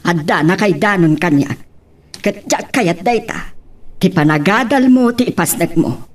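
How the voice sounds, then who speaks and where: Woman acting as cautioning mother, animated lowish voice. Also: measured pace. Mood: firm concern. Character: protective, cautioning mother advising her student daughter. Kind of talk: Ilocano radio-style drama acting.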